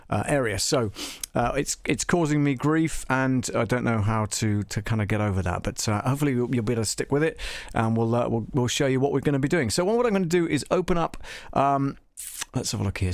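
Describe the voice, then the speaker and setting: Male live-stream tutorial host, mid-pitched, casual and halting, thinking aloud, close dry sound. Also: no room sound. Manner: halting, thinking aloud as he clicks through menus.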